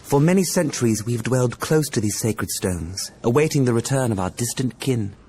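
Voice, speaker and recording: A man's voice: mid-range voice, lively intonation, moderate pace, a little mellow, slight background noise, close to an everyday voice. A man playing a stern, ancient elven guardian in a solemn fantasy game style.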